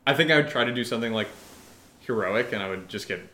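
A man co-hosting a casual chat, excitable and goofy, jumping in with tangents. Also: on the low side, fast talker. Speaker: podcast co-host. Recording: roomy, echoey indoor sound.

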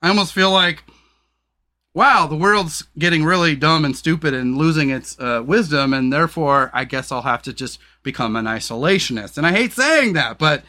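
A man's voice: lively intonation, normal speed, sharp tone. A man hosting a casual podcast conversation, talking frankly and swearing freely, rambling with lots of fillers. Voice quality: deep voice. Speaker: podcast host.

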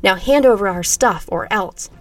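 A woman's voice: medium pitch, normal speed, distant mic, faint music behind, natural voice. A woman voicing an edgy, hostile young punk woman with a condescending, sarcastic manner in an audiobook. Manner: condescending, harsh.

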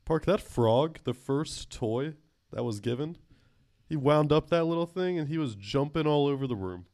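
A man's voice: a little high, expressive, moderate pace, darkish tone, dry recording, clean studio sound. A man in his twenties co-hosting a podcast, joking in a mock-serious way with many fillers. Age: 20s. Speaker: podcast co-host. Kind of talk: casual film review chat.

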